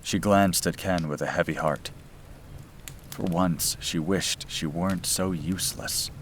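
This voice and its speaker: A man's voice: sits low, steady tone, measured pace. A man reading a scripted bedtime story as its narrator. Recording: clear background noise and ambience.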